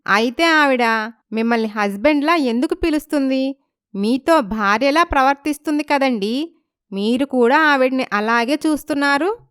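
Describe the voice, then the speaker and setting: A woman's voice: sits high, moderate pace, fairly bright tone, clear, resonant tone, no echo, clean studio sound. A woman voicing a meek, anxious young village wife in a story, timid and respectful. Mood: timid, confused.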